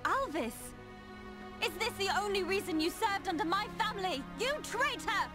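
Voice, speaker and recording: A woman's voice: very high-pitched, lively intonation, normal speed. A woman playing a proud, betrayed young noblewoman in a video game, formal and indignant. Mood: hurt, outraged. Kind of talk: video game cutscene acting. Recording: loud music under the dialogue.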